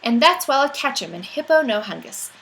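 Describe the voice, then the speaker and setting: A woman's voice: high-pitched, very animated, brisk, a bit bright, poor audio. A woman reading a rhyming children's picture book aloud in a playful, theatrical storytelling manner.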